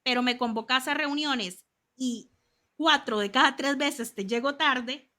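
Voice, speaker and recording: A woman in her thirties with a Costa Rican accent, a guest telling stories in an animated, chatty way in an interview. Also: high voice, unhurried. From Costa Rica. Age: 30s. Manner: animated, chatty storytelling with lots of asides. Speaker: guest, communications consultant.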